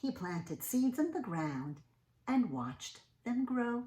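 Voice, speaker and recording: A woman reads a storybook to small children in a roomy, echoing space. Her voice swings widely as she stretches words for emphasis and checks in with her listeners. She takes it slowly. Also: a little high. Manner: animated, sing-song, drawn-out emphasis. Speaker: children's read-aloud storyteller. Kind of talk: expressive picture-book reading with questions to young listeners. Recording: roomy, echoing indoor sound.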